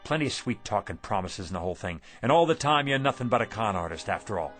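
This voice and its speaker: An actor playing a volatile, struggling shopkeeper husband in a radio drama, with an emphatic way of talking. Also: male voice, fairly low pitch, expressive, fast talker, fairly bright tone, soft background music. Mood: angry, frustrated. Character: excitable, hot-tempered husband, struggling shopkeeper.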